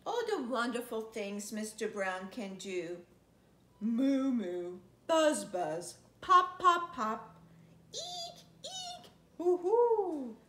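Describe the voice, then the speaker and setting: A woman's voice: on the high side, big pitch swings, drawn-out words, bright, forceful tone. A woman in her thirties reading a children's picture book aloud expressively, drawing out words and playfully imitating noises. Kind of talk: animated picture-book read-aloud with playful sound effects. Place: living room couch, indoors. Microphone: camera a few feet away. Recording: roomy, echoey sound with faint noise.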